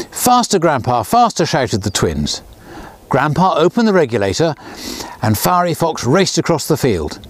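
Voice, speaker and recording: Animated man reading a storybook. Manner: lively storyteller, lifts pitch for characters' lines. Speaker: children's author reading his book. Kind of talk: storybook read-aloud. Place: outdoors beside a miniature railway.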